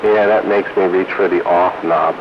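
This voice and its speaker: A man with a deep voice chats casually over a CB radio link. The sound is dull and narrow, with clear static and noise around his easygoing shop talk. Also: fairly even intonation, normal speed. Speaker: host of a CB radio hobbyist roundup. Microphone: CB radio microphone. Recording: noisy, dull CB radio transmission.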